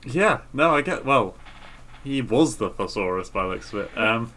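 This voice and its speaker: A man's voice: medium pitch, expressive, normal speed, sharp tone. A man co-hosting a podcast, chatting casually and reading a story aloud. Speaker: podcast co-host.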